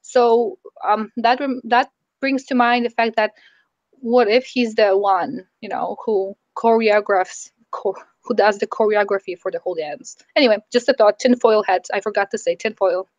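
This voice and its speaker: A woman's voice: mid-range voice, steady tone, moderate pace, no echo, highs cut off. Woman with a strained, weary voice. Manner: tentative, many ums. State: strained, nearly lost voice. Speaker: book club member. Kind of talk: casual book discussion.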